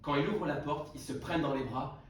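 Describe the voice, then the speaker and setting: A man reading a literary novel aloud at a book prize event, unhurried and with frequent hesitations and restarts. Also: fairly high pitch. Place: salon of a literary hotel. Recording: echoey room, distant mic, background noise.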